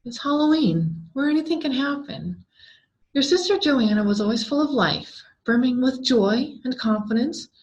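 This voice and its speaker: A woman voicing a ghostly female reflection in a horror story, deliberate, tempting and persuasive. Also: sits high, lively intonation, a little mellow, voice pushed up. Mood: coaxing. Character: ghostly female mirror double, tempting and coaxing. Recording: dull, lo-fi sound.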